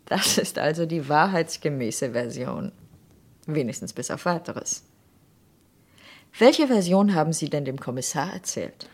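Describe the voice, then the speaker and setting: An actress playing a cool, suspicious widow in a German radio crime drama, questioning in a sardonic, probing way. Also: female voice, sits low, expressive, a little slow, darkish tone, heavily acted. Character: cool, suspicious wife of a murdered man.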